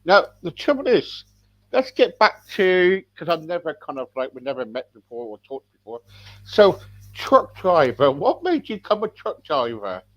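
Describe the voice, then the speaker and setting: A man's voice: a little high, sing-song, moderate pace. Rambling male host on a dull line. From England. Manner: rambling, full of fillers and restarts. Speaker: podcast host. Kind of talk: casual radio-style interview hosting. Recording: slightly dull, lo-fi sound.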